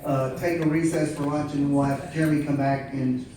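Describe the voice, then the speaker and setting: A man chairing a meeting, moderating informally and explaining himself in a halting way. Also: a little high, flat delivery. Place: large indoor meeting room. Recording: very echoey room, distant, faint noise.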